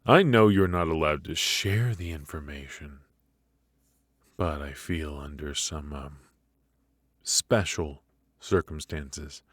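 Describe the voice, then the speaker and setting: A man playing a teasing, menacing mafia boss in an ASMR roleplay, speaking in a halting, mock-polite, softly taunting way. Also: very deep voice, no echo, studio quality, exaggerated voice. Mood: quietly threatening.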